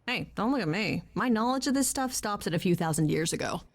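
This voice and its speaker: Nerdy young bestie in a video game, teasing and wry, loyal, normal mid voice. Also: female voice, mid-range voice, animated, fairly quick. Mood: thoughtful. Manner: wry, teasing, hesitant asides. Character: Freddy, loyal young best friend, teasing, nerdy, warm. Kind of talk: naturalistic video game voice acting.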